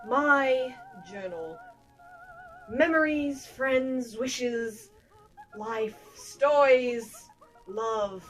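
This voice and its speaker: A young woman giving a mock-dramatic, deliberately hammy reading for her video audience, with drawn-out emphasis. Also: high-pitched, lively intonation, soft background music, rough recording. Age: young adult. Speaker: video creator presenting to subscribers.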